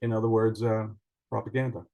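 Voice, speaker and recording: A man from Iceland giving a prepared self-introduction in an online meeting, measured and articulate with formal wording. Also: lowish voice, level tone, muffled recording. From Iceland, raised partly in other countries. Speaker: participant, journalist and writer. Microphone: online video call.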